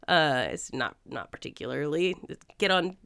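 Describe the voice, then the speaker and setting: A woman podcast co-host chatting casually and enthusiastically in a clear, smooth voice. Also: low-pitched, big pitch swings, normal speed, a bit bright, dry recording, studio quality. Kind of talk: casual banter between podcast co-hosts.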